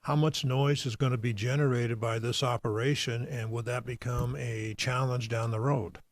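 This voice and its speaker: A man's voice: mid-range voice, steady tone, normal speed. A man chairing a planning commission meeting, asking brief, procedural questions.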